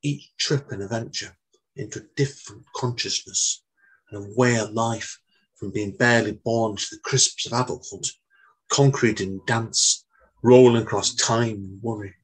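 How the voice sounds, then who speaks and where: A man, hosting a casual chat and reading his poems in a slow, measured way. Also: lowish voice, fairly even intonation, husky, poor audio. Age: 40s. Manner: chatty, says mate, reads slow and measured. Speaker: podcast host.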